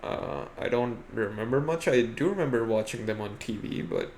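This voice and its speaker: A man with a mid-pitched, creaky voice talks casually as a podcast co-host. His delivery is conversational, weighing up films and games. There is some noise in the recording. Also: lively intonation, normal speed, darkish tone.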